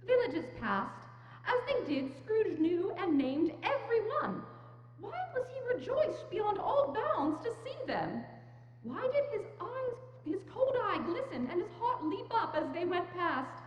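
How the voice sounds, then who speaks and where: Very high-pitched woman narrator, echoey hall. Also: sing-song, unhurried. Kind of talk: scripted story narration, dramatic reading. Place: church, live staged reading. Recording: echoey church hall, faint noise.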